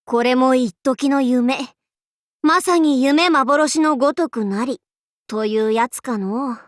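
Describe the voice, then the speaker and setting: A woman's voice: high voice, takes it slowly, no room sound, studio quality. An actress playing a boastful young female demon-king warlord in an anime game, with archaic lordly speech that flips between haughty and goofy. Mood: wistful, musing. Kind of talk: Japanese anime game character voice lines.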